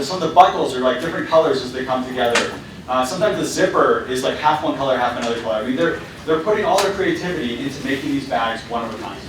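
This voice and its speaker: A man's voice: medium pitch, noisy background. Founder talk: a man with a mid-range voice speaking casually, heavy echo, recorded from far away. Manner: casual, with many fillers and restarts. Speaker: company founder giving a talk. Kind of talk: presentation to students. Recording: very echoey hall, voice from afar.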